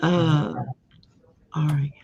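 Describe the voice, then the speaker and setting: A woman's voice: deep voice, level tone, drawn-out words, warm tone, slightly rough, dull audio. A woman with a Cajun Louisiana accent hosting a live stream, giving a casual, folksy tarot reading with frequent ums. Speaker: host and tarot reader.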